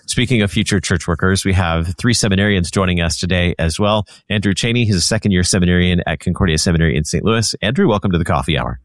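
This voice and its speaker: A man hosting a radio interview, introducing and questioning guests in a measured, orderly way. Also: sits low, no echo, studio quality. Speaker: radio show host.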